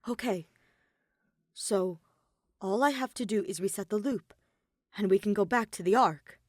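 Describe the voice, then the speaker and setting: A woman's voice: medium pitch, unhurried, dry recording, close to an everyday voice. A bewildered young man in a dramatic story, voiced by a woman. He sounds anxious and hesitant, stumbling into urgent questions. The recording is close and dry.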